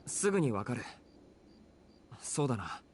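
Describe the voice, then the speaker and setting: A man's voice: mid-range voice, sing-song, fast, a little hiss or hum, close to an everyday voice. A man playing a blunt, exasperated, sensible teenage boy in a Japanese visual novel. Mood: weary, serious.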